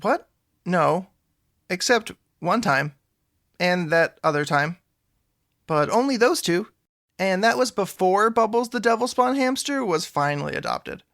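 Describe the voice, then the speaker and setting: A man playing an anxious, awkward young man in an audio drama, sheepish and rambling with deadpan jokes and a creaky voice. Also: high voice, a little slow, no room sound, studio quality, exaggerated voice. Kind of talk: audio drama dialogue, conversational.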